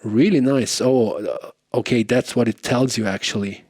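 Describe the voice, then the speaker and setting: A man's voice: medium pitch, moderate pace, darkish tone, no room sound, clean studio sound. A man giving a casual product demo as a guitarist, thinking aloud in an informal way. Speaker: guitarist reviewing effects pedals.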